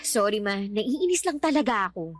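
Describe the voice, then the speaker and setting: An actress with a Filipino accent playing a resentful, outspoken grown daughter in a radio drama, exasperated and complaining. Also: female voice, mid-range voice, big pitch swings, normal speed, soft background music. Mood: sullen.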